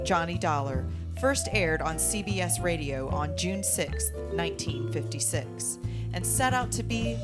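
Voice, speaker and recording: Low-voiced woman presenter over loud music. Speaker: presenter and member of a radio theatre troupe. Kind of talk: scripted introduction to a radio play.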